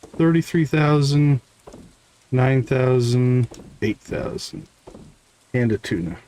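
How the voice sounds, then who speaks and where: Male game streamer, unhurried and mid-pitched, musing casually in short bits, some background noise. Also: mellow. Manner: thinking aloud in short fragments. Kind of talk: casual live gameplay commentary.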